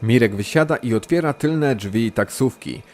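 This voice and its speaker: A man's voice: medium pitch, animated, moderate pace, slight background noise. A man reading scripted crime drama narration in short, matter-of-fact sentences.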